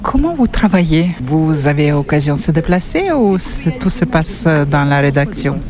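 A man's voice: on the high side, sing-song, normal speed, a little mellow. A man interviewing a journalist, asking brief, direct questions.

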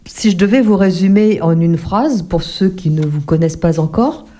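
A woman with a slightly low, mellow voice hosting an interview. She asks polite, carefully measured questions, pausing often as she goes. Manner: courteous, measured questions with many "euh" pauses. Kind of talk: radio-style interview.

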